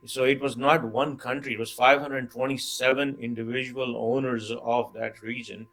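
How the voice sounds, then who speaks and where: Man, from Pakistan, panel discussion, measured, fillers, gravelly edge. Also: mid-range voice, a bit bright, poor audio.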